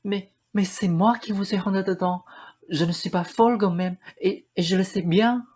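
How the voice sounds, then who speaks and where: A woman's voice: medium pitch, fairly even intonation, moderate pace, darkish tone, slightly rough, close to an everyday voice. A woman playing an eccentric, pleading lady who insists she is a prince's love, in a student radio play. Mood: bewildered, pleading.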